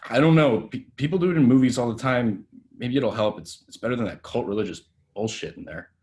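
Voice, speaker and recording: A man's voice: medium pitch, fairly quick, a little mellow, lightly acted. A man playing a reckless, defensive young actor in a screenplay table read, hesitant and casual in his delivery. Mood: agitated. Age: young adult.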